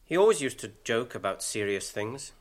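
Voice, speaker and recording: An actor playing a younger, earnest and gentle hobbit with a British accent in a radio drama, speaking in a hesitant, questioning way. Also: male voice, medium pitch, big pitch swings, moderate pace, lightly acted. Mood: regretful.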